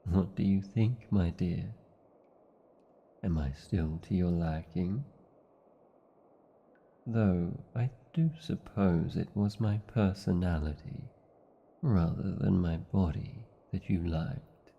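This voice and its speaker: A man's voice: low-pitched, takes it slowly, gravelly, pauses often, breathy edge, muffled recording, full character voice. A British man playing a proud, tender dragon lover in a fantasy audio romance, speaking in formal, old-fashioned, theatrical phrases. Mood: awed, tender. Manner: formal, archaic, theatrical phrasing with tag questions. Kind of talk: fantasy ASMR roleplay, slow intimate acting.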